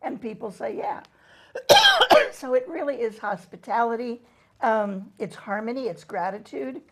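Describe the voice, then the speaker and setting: A woman's voice: on the high side, a little slow. A middle-aged woman co-hosting a casual group chat, explaining things at length with frequent ums. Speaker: co-host.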